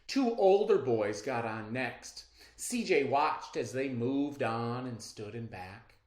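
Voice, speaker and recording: Children's read-aloud narrator: expressive, widely varied pitch, slow pacing, roomy echo. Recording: roomy, echoing sound.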